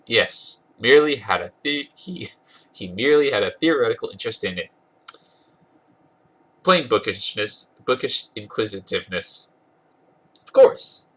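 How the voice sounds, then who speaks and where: A man's voice: on the low side, expressive, a little slow, pauses often, a bit bright, low-quality recording. A man with a congested voice doing an amused dramatic reading of a comic story, stumbling over words now and then and correcting himself. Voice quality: husky, congested. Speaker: podcast host reading fan fiction aloud.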